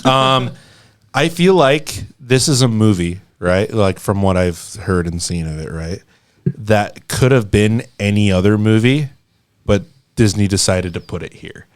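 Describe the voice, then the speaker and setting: A man's voice: lowish voice, a little slow. A man co-hosting a podcast, chatting casually in an informal, slangy way. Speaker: podcast co-host. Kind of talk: casual chat.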